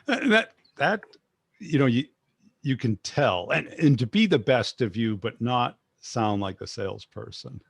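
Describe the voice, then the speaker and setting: A man's voice: medium pitch, expressive, measured pace, vocal fry, slightly rough, dry recording. A man hosting a sales podcast, chatting casually and making short, wry asides. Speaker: podcast host. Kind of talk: casual interview chat.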